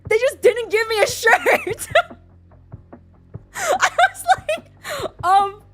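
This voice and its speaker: A woman's voice: very high-pitched, sing-song, takes it slowly, a bit bright, soft background music. A young woman with an American accent and Mandarin as her first language, chatting casually as a livestreamer in a giggly, talkative way. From born in China, raised in the US from about age six. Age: young adult. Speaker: streamer.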